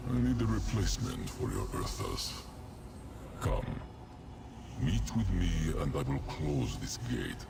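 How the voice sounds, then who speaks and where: A booming, gravelly demon lord. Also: male voice, wildly swooping pitch, heavy background noise, full character voice. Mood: mocking menace. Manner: mocking, slow, imperious. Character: menacing daedric prince, huge demonic male lord. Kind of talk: video game voice acting. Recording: roomy echo with loud ambience.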